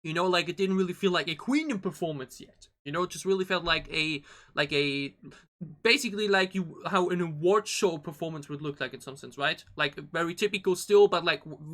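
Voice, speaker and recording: A man giving casual reaction commentary as a video host, excitable and rambling, often repeating his phrases. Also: fairly high pitch, fairly quick. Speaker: host of a K-pop reaction channel. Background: pop music from the video being watched.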